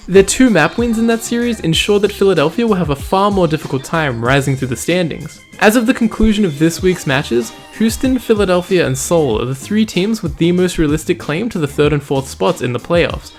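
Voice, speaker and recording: A man with a slightly high-pitched voice narrates a scripted esports highlight recap as its host. His phrasing is measured, formal and wordy, and music plays behind him. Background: background music.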